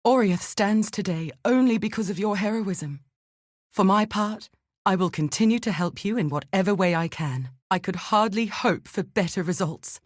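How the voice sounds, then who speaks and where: An actress playing an earnest, thoughtful scholar in exile in a video game, speaking in a measured, articulate and grave way. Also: female voice, mid-range voice, dry recording, clean studio sound, close to an everyday voice. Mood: grateful, earnest. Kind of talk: scripted dramatic game dialogue.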